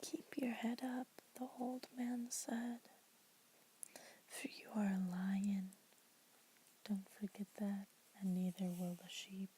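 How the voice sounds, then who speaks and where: Woman whispering slowly, flat and soft. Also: mid-range voice, a little hiss or hum, low-quality recording. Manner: soft unvoiced whisper throughout; casual, chatty intro with fillers. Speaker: ASMR creator. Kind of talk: whispered ASMR poetry reading.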